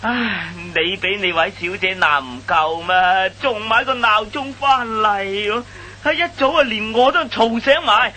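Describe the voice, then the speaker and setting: An actor playing a grumbling, exasperated sidekick in a Hong Kong Cantonese radio comedy, full of sighs and exclamations. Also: male voice, sits high, a little slow, hardly any pauses, sharp tone, a little hiss or hum. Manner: exclaiming, sighing, complaining. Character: grumbling, exasperated male sidekick, mocking his fussy companion.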